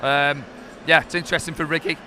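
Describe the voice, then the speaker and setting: A man's voice: fairly high pitch, fairly even intonation, a little fast, sharp tone, a little hiss or hum. A man, a former player, giving live football analysis, chatty, with fillers and tag questions, speaking outdoors at a stadium. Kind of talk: live pre-match analysis. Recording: music playing behind.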